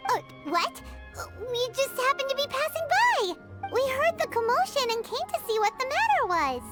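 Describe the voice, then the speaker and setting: A woman's voice: extremely high pitch, sing-song, moderate pace. A woman voicing a tiny, bubbly, childlike fairy companion, curious and earnest, in a video game. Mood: curious, startled. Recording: loud music under the voice.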